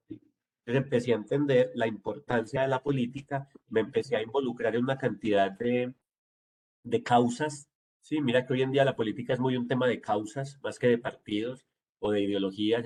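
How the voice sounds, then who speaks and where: A man in his forties with a Medellín Colombian Spanish accent speaks in a husky, slightly breathy voice. He answers interview questions in a fluent, self-assured way, explaining his background at mid pitch. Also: darkish tone. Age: 40s. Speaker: city councilman.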